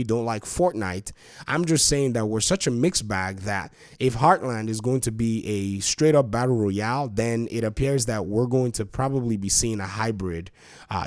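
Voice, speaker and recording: A man's voice: medium pitch, a little mellow, dry recording, clean studio sound. A man hosting a gaming channel, giving casual opinionated commentary in a boxy, stuffy voice, congested from allergies.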